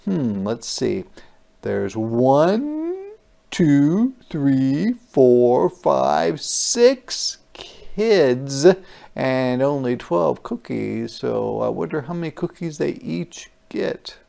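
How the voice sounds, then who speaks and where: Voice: medium pitch, very slow, gravelly. A storyteller reading a picture book aloud to children, with playful, wondering asides. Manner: animated, playful wondering asides to young listeners. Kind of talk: interactive children's read-aloud.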